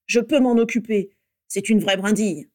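A woman voicing a gruff, brutish male wizard in a storybook, blunt and sneering. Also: mid-range voice, normal speed, warm tone, lightly acted.

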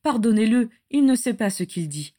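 A woman voicing a fierce, devoted female follower of a dark lord, urgent and pleading, in a fantasy story reading. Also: a little high, big pitch swings, takes it slowly.

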